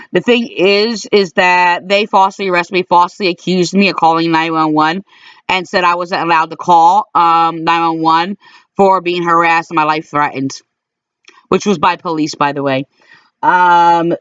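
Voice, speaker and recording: A middle-aged woman telling of her mistreatment in a halting, aggrieved way, full of fillers and restarts. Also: fairly low pitch, steady tone, fairly bright tone, smooth, dry recording. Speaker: complainant recounting calls to police. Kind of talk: personal recorded monologue. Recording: dull lo-fi dry recording.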